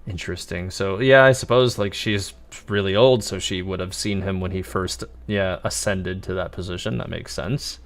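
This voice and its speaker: A man's voice: low voice, steady tone, normal speed, few pauses. A man casually commentating on a live game stream, musing and thinking aloud.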